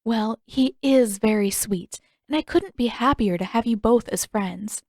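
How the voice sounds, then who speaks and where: A woman voicing a gracious, sweet young princess in a fantasy audiobook, speaking politely and with gentle formality. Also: a little high, a little slow, dry recording, studio quality. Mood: gentle, sincere.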